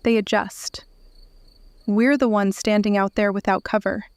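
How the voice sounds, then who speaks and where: An actress playing a sharp, capable young daughter in a fiction podcast, direct and probing in manner. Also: female voice, medium pitch, fairly even intonation, measured pace, no echo, lightly acted. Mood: grave. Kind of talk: fiction podcast drama acting.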